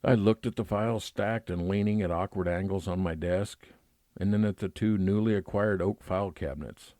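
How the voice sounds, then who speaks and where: A man playing a weary, nostalgic private investigator, narrating an old-time radio detective drama in a measured, reflective way. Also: sits low, dark tone, no room sound, studio quality, natural voice.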